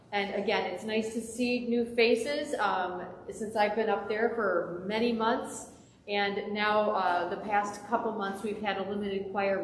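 A woman's voice: mid-range voice, slight background noise. A young woman, the church's music director, making upbeat, chatty announcements through a face mask at a pulpit microphone in a small church. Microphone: pulpit microphone, masked. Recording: echoey church heard from the back.

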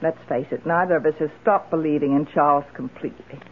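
An actress playing a weary, tart older widow in an old-time radio drama, dry and resolute. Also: female voice, low voice, fast talker. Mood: confiding, resolute. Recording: muffled old radio broadcast.

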